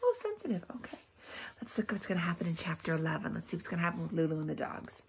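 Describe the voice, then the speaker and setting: A woman teacher reads a children's book aloud expressively, pausing often for emphasis and to put questions to young listeners. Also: on the low side, sing-song, creaky, slight background noise.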